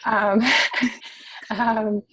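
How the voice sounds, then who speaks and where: A woman with a froggy, hoarse voice speaking heartfelt and effusively as a podcast co-host. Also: mid-range voice, level tone, extremely slow, poor audio. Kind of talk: casual chat.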